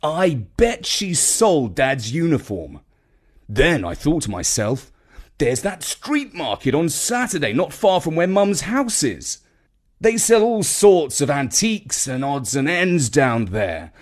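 A man acts out a breezy, self-assured uncle from a children's story. He drawls colloquially and emphatically, taking his time, with a high voice that leaps about. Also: big pitch swings, takes it slowly, exaggerated voice. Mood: confiding, triumphant. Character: breezy, charmed, self-assured younger or middle-aged uncle.

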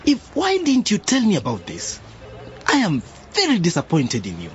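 A man's voice: high-pitched, wildly swooping pitch, normal speed. An actor playing a hurt and indignant father in a radio drama, on a dull lo-fi recording. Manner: indignant, wounded.

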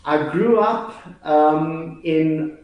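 A man's voice: fairly high pitch, drawn-out words, recorded from a distance, highs cut off. A man in his forties with a South African accent, chatting as a guest, hesitant with many fillers and restarts. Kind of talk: casual chat. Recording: very echoey room.

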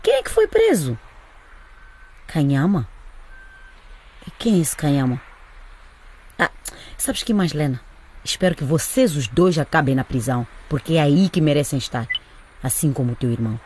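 A woman's voice: deep voice, very animated, moderate pace, long pauses, darkish tone, a little hiss or hum. A woman playing a fierce, protective mother in a radio drama, emphatic and scolding in manner. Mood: furious. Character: fierce, indignant mother protecting her children.

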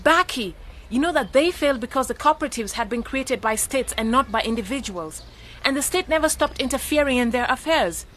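A woman's voice: sits high, expressive, brisk, fairly bright tone, slight background noise. A woman acting in a radio drama as a confident, practical and supportive young project co-founder. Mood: firm, defensive.

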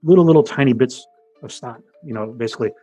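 Deep voiced guy scientist explaining stuff fast, loose and chatty, lots of uh and like, bit husky. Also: dark tone, dry recording, soft background music. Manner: casual and explanatory, many fillers and restarts. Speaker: scientist being interviewed. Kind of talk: explaining research in an interview.

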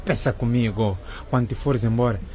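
A man's voice: mid-range voice, a little fast, mellow, a little hiss or hum, close to an everyday voice. A man acting in a radio drama as a cocky, jealous young student, taunting and sarcastic. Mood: needling, defiant. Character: cocky, jealous young male student rival, mocking and combative.

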